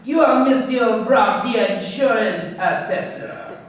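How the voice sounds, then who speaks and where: High-voiced, wary foreign crook played by a man. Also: slight background noise. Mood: guarded. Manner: deliberate, formal, threatening. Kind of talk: radio crime drama acting. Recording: very echoey, distant-sounding room recording.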